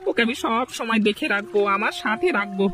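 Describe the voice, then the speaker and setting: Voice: mid-range voice, expressive, fast talker, noisy background, natural voice. An actor voicing an aggrieved, devoted male villager who keeps a buffalo, in a cartoon folk tale, earnest and plaintive. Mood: resolute, sad.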